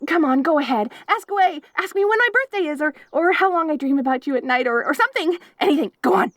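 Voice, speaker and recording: A woman's voice: high-pitched, animated, quick pace, fairly bright tone, dry recording, studio quality. A woman voicing a clingy, obsessive young wolf girl in an audio roleplay, giggling and stammering as she rambles eagerly. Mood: rambling.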